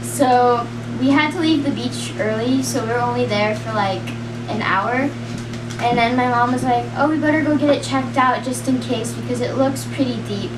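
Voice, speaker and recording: A young woman telling a personal story in a rambling, casual way, full of "like" and quick laughs. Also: a little high. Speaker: storyteller guest. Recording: roomy, distant, noisy.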